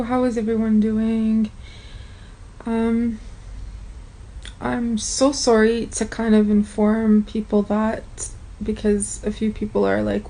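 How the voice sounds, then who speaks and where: A woman's voice: medium pitch, mellow, poor audio. A woman whose first language is Armenian, talking frankly and casually to her viewers in a vlog. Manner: chatty, frank, addresses viewers directly. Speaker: vlogger.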